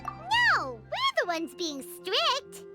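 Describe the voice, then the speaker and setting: Tiny cheeky game fairy, super high n squeaky, swoops all over, loud music behind. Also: female voice, wildly swooping pitch, very slow, vocal fry, exaggerated voice. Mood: pondering. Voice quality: squeaky, childlike. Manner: third-person self-reference, blunt, chatty. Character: tiny floating fairy companion, childlike, cheeky and opinionated. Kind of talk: video game character acting. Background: tinkling children's music.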